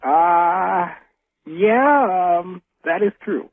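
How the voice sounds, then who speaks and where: An American man calling a radio show by telephone, speaking casually in a halting, hesitant way and repeating himself. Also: high-pitched, expressive. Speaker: radio phone-in caller. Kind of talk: casual phone-in talk. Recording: narrow, tinny phone line on radio.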